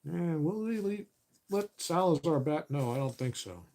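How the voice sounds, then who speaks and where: A man doing play-by-play of a tabletop baseball replay as host, relaxed and correcting himself as he counts stats aloud, his voice getting a little raspy. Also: a little high, normal speed, slight background noise. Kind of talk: play-by-play commentary of a board game replay.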